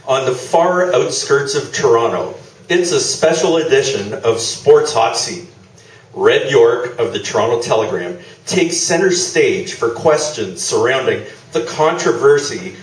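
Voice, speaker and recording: A man introducing and announcing a live radio play on stage. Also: medium pitch. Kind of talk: informal stage introduction, then scripted announcing. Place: small theatre, live performance. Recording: echoey room, distant mic.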